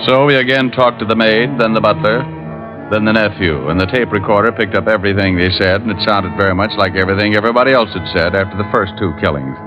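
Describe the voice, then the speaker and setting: A low-pitched, world-weary private detective voiced by a male actor from old-time radio crime drama. He is calm and dogged and tells his case in clipped first person. The recording is muffled, with music underneath. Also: quick pace, natural voice. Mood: grim, matter-of-fact.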